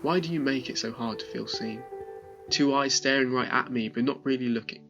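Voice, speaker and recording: A man reading a spoken word poem in a measured, reflective way. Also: mid-range voice, highs cut off. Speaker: poetry reader. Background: soft guitar music.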